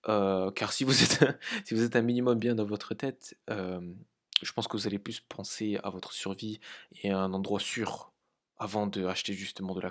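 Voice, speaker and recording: A man from France hosting a crypto trading channel, talking casually with many hesitations and light laughs. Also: lowish voice. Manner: halting, many fillers, informal swearing. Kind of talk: casual market analysis.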